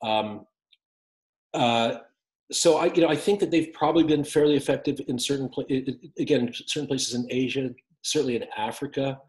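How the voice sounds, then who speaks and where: A man's voice: medium pitch, moderate pace, a little mellow. A man in his fifties, an author guest, answering interview questions on a video call with many restarts and fillers. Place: home room with windows. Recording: roomy echoey call audio.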